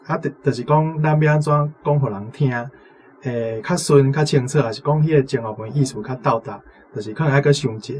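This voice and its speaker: A man's voice: medium pitch, brisk, darkish tone, a little hiss or hum. A man with a Taiwanese accent, a language teacher giving explanatory commentary off the cuff. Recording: music playing behind the voice. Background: instrumental music.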